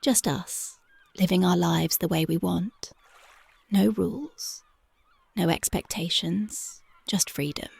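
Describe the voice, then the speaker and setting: Woman doing a young punk girlfriend, ASMR roleplay, soft close whispers, unhurried, dry and near. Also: on the low side, gravelly, slightly rough, dry recording, full character voice. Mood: tender, dreamy. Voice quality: soft, intimate, partly whispered. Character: tough, devoted young punk girlfriend, tender at night. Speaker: voice actress.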